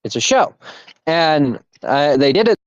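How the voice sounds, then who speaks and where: A man's voice: a little high, very animated, unhurried, dry recording. A young man in casual chat as podcast co-host, rambling with restarts and laughter. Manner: rambling, laughing, self-interrupting. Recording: dull lo-fi mic.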